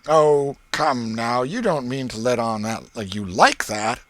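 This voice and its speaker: A man's voice: medium pitch, a little slow, a bit bright, dry recording, natural voice. A man voicing an eager, gullible village boy in a storybook, pleading in colloquial rural speech. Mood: disbelieving. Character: eager, wheedling village boy, easily fooled.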